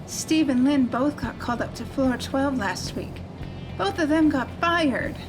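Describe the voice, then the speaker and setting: A woman's voice: high-pitched, lively intonation, unhurried, music in the background, low-quality recording. A woman playing a blunt, easygoing call-centre colleague who teases casually in an audio drama. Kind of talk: naturalistic audio drama acting.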